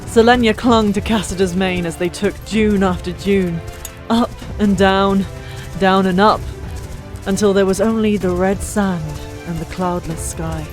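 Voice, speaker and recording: A woman's voice: mid-range voice, steady tone, measured pace. A woman narrating a fantasy audiobook in a dramatic, theatrical storytelling style. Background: music.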